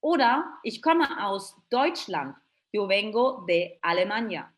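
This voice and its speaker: Woman teaching slowly. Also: mid-range voice, big pitch swings. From Germany. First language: German. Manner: slow, careful demonstrations of German phrases between Spanish explanations. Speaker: German teacher. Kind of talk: webinar lesson for beginners.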